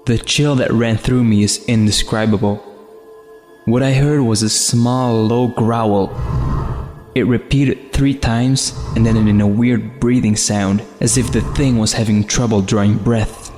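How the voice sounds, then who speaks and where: A man's voice: fairly low pitch, steady tone, a little slow, darkish tone. A man reading a scripted first-person horror story as its narrator. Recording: long reverb, faint music behind. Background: faint music.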